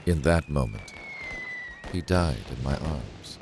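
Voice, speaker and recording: A man narrating a thriller audiobook in the first person, in a measured, brooding and dramatic way. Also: extremely low pitch, expressive, creaky, background noise.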